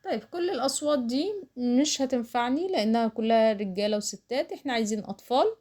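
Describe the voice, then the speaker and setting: Egyptian lady w a highish voice walking thru clicks on screen, casual, drops english words in. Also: animated, fast, warm tone. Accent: Egyptian Arabic. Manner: explains while doing, with English terms mixed in. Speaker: online tutorial instructor. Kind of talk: casual step-by-step screen tutorial.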